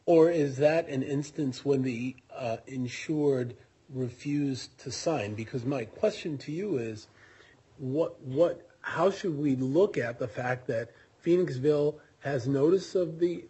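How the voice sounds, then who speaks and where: Male judge, higher mellow voice, very husky, deliberate questions. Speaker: appellate judge. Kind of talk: courtroom questioning.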